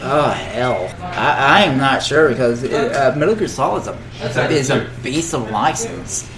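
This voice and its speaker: A man in casual, opinionated conversation, with a mid-pitched voice. He rambles, doubling back on phrases as he goes. A noticeable crowd chatters behind him. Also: lively intonation, measured pace. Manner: rambling, often restarting and repeating phrases. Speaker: podcast panelist. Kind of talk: casual opinionated chat about games and films.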